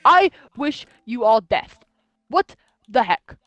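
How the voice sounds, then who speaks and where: A woman chatting casually while playing a game together, playful and teasing, quick to laugh. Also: fairly high pitch, sing-song, fairly bright tone, no echo, lo-fi sound. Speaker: co-player.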